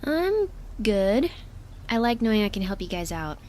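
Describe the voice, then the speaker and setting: A woman's voice: mid-range voice, sing-song, measured pace, a little mellow. A woman playing a caring, exasperated young shipmate who tends to the injured in an audio drama, earnest and fussing with dry humor. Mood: reassuring.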